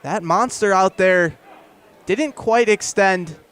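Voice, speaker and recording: Young guy doing baseball radio play by play, pretty high voice, descriptive calls that build with the action. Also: slow, a bit bright, smooth. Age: young adult. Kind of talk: live radio sports commentary. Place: outdoors at a ballpark.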